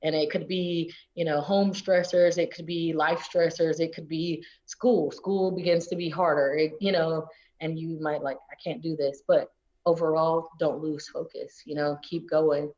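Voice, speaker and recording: A chatty woman from North Carolina with a soft Southern accent, talking through an interview in a fairly low voice. She keeps the conversation loose with "you know" and "like" and breaks into laughs. The audio sounds a little muffled and lo-fi. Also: fairly even intonation, normal speed. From coastal North Carolina. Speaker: guest, space agency public affairs officer. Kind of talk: casual interview chat.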